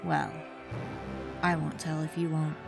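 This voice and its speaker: Woman playing low, playfully menacing villainess. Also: big pitch swings, unhurried, vocal fry, loud music behind the voice, exaggerated voice. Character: menacing murderous villainess, former cultist, musing playfully on killing.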